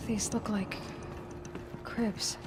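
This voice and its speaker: An actress playing a resolute, thoughtful young huntress in a game, earnest and understated. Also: female voice, drawn-out words, over music. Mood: quiet wonder.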